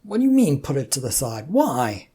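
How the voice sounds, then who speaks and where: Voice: medium pitch, huge pitch swings, moderate pace, mellow, slightly rough. A narrator voicing an anxious, exasperated man with a hesitant, sarcastic manner in a story reading. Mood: worried, suspicious. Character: exasperated, anxious everyman, reluctant prophet of a god.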